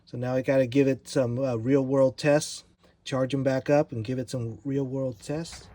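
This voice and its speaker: A man's voice: medium pitch, little pitch movement, normal speed, dark tone. A man giving a casual gear review in an informal, explanatory way, as a vlogging product reviewer.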